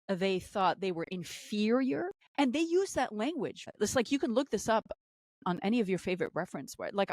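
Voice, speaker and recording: A woman who also speaks Arabic, giving impassioned political commentary on a podcast, repeating phrases and rising in pitch when indignant. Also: a little mellow, no echo. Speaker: podcast guest.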